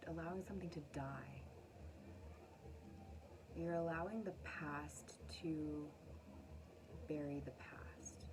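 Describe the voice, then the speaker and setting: Slow-talking woman tarot reader, noisy room. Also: low voice. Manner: dramatic, drawn-out phrasing with pauses. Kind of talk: intuitive spoken card reading, casual and spontaneous.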